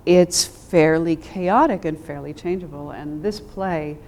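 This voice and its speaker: Woman, theater scholar, unhurried pace, measured formal phrasing, thoughtful panel talk. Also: low voice, darkish tone. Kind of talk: thoughtful unscripted panel discussion.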